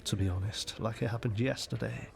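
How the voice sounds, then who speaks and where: An actor playing a friendly, reflective village pub customer in a radio soap. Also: male voice, fairly low pitch, steady tone, fairly quick, creaky. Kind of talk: radio soap opera acting.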